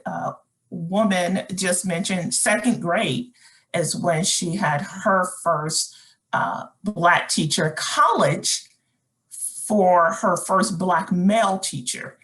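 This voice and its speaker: Lower-voiced woman leading a webinar. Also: slow pace, a bit bright. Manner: conversational, with frequent fillers and restarts. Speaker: workshop presenter. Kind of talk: webinar presentation, reading chat comments aloud.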